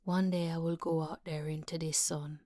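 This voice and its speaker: A woman playing a frightened, confused, worn-down mother in a dramatic monologue, hushed and halting. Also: deep voice, little pitch movement, dry recording, studio quality, lightly acted. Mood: wistful, confused. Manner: halting, hesitant, repeating herself, wandering.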